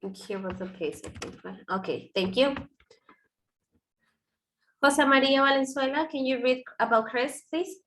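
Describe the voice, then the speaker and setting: A woman with a high voice and Spanish as her first language gives instructions to an English class online. She is informal and corrects herself as she goes. Faint noise and clicking sit behind her. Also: moderate pace, pauses often, fairly bright tone, rough recording. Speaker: English teacher.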